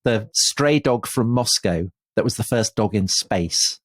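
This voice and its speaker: A man co-hosting a history podcast, wry and lively, with frequent ums and restarts. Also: fairly low pitch, unhurried, dry recording, studio quality. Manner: wry, hesitant with fillers, lively. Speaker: podcast co-host. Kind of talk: casual history podcast chat.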